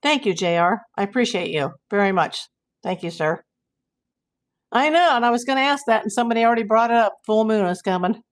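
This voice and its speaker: A woman hosting a casual livestream, hoarse and losing her voice, rambling in exasperation while she reads viewers' comments. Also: fairly high pitch, lively intonation, a little fast. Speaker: YouTube livestream host.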